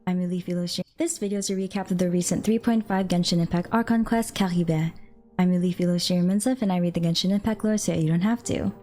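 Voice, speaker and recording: A woman presenting a game lore recap in brisk scripted narration. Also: fairly low pitch, fairly even intonation, dark tone.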